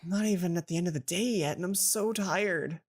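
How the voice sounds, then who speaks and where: A woman's voice: lowish voice, fairly quick, natural voice. A woman voicing a timid, self-pitying schoolgirl heroine in a visual novel, hesitant and downcast. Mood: weary.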